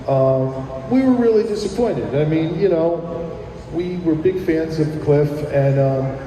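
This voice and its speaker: A man's voice: on the high side, measured pace, mellow, a little hiss or hum. A middle-aged American man, a musician guest, chatting casually and telling rambling, enthusiastic anecdotes. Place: live radio broadcast at a public plaza. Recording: echoey hall with music behind.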